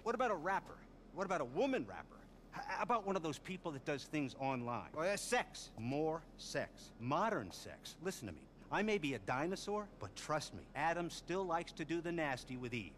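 Manic high-pitched Hollywood producer. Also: male voice, very animated, brisk, fairly bright tone, slight background noise. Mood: pushy, scheming. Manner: gushing, rambling, sudden swoops. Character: manic, vain middle-aged Hollywood show producer.

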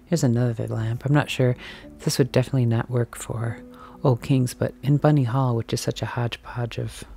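A woman filming herself as she browses a large antique and thrift shop, chatting casually and musing aloud, sometimes dropping to a soft whisper. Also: rumbling low, fairly even intonation, moderate pace, gravelly. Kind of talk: casual walk-and-talk commentary. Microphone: handheld camera mic, filming as she walks.

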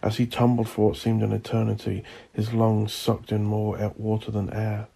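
A man narrates dark fiction in a dramatic, theatrical style. His voice is dark and gravelly, rising and falling very widely with an intense, husky delivery. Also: fairly low pitch, monotone, moderate pace. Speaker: audiobook narrator. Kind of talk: dramatic scripted horror narration.

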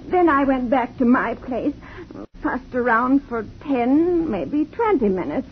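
A woman's voice: sits high, animated, slow pace. An actress playing an anxious, grieving woman in a 1950s radio drama, with a dull vintage sound and faint hiss. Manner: fretful and tearful.

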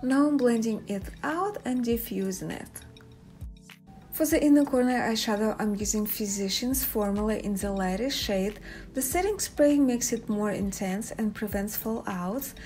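A woman's voice: on the high side, normal speed, a little mellow. A young woman, a native Russian speaker with a Russian accent, presenting a makeup tutorial to viewers as a beauty vlogger. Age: young adult. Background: background music.

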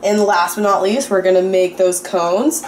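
A bubbly young woman talks through her cooking at home, excitable and a little dramatic. Her voice rings off the walls of a small echoey kitchen, with a faint hum of room noise behind her. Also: mid-range voice, moderate pace. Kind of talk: casual cooking vlog.

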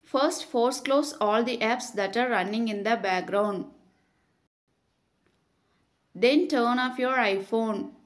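A woman reading scripted step-by-step tutorial narration in a measured, instructional way. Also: fairly high pitch.

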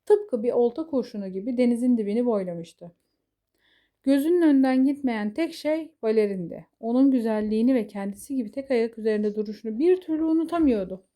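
A woman's voice: fairly high pitch, lively intonation, fairly quick, dark tone. A woman reading a scripted fairy tale for children as a storyteller.

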